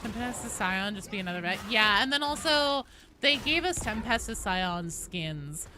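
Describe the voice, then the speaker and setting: A woman's voice: mid-range voice, expressive, moderate pace, bright, forceful tone, slight background noise. A woman chatting casually and swearing freely while she live streams a game. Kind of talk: casual live gaming chat.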